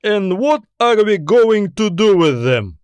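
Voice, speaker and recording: Man voicing a fearsome, commanding space admiral, raised pitch. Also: sing-song, takes it slowly, smooth, dry recording, full character voice. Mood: snide. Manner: commanding, snide, barking questions. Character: fearsome, irritable, domineering military admiral in science fiction.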